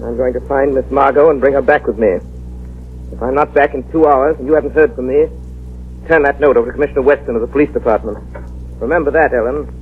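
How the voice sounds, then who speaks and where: An actor playing a calm, commanding gentleman hero who gives firm instructions in an old radio drama. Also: mid-range voice, fast, lightly acted. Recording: muffled scratchy old radio broadcast with faint hiss.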